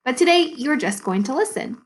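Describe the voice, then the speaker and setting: A woman teacher reading a storybook aloud to second graders in an expressive, deliberate way, with dramatic pauses. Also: high voice. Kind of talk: storybook read-aloud for young children.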